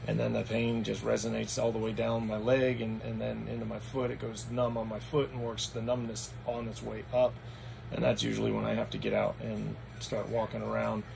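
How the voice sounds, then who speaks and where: A man with a raspy, hoarse voice, talking through a casual vlog recap at home in a meandering, matter-of-fact way. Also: fairly low pitch, monotone, brisk. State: hoarse after anesthesia. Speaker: vlogger. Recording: noticeable background noise.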